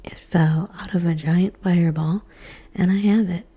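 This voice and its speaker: A woman's voice: on the low side, fairly even intonation, moderate pace, mellow, breathy edge. A woman whispering as an insistent boy no one believes. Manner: insistent, frustrated.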